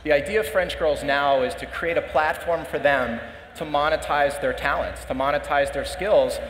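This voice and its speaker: A man, a startup co-founder on a panel, explaining in a measured way with some fillers. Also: a little high, a bit bright, a little hiss or hum. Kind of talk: panel conversation. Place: conference stage in a large hall. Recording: roomy hall reverb, faint ambience.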